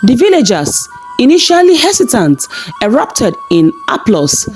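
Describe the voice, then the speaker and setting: A woman's voice: fairly high pitch, wildly swooping pitch, unhurried, a little mellow, soft background music, low-quality recording. A woman narrating a scripted folktale as a storyteller.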